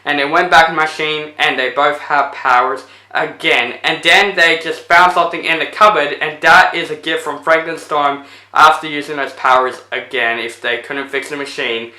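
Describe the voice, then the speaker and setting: A man's voice: fairly high pitch, steady tone, moderate pace, bright, forceful tone, roomy sound, poor audio. A young man recording a casual movie review video, rambling through a retelling with lots of fillers, his voice getting tired. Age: young adult. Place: indoors in front of a plain blue backdrop.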